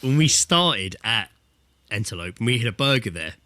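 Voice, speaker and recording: A man's voice: lowish voice, normal speed, no echo. A British man co-hosting a casual podcast, telling sweary anecdotes and acting out quotes as he goes. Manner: sweary, animated storytelling with acted-out quotes. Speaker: podcast co-host. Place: remote online call from a dry-sounding room.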